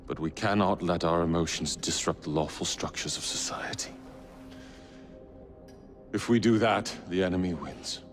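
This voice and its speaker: An actor playing a cold, grieving middle-aged Templar industrialist who sings softly and gives stern orders. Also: male voice, sits low, lightly acted. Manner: slow, measured, commanding; sings softly. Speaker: villain. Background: game score music.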